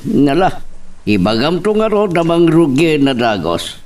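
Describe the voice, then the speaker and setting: A man acting an unhurried middle-aged employer, a village don, in an Ilocano audio drama. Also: fairly high pitch, wildly swooping pitch, a little mellow. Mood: matter-of-fact. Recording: slightly distant from mic.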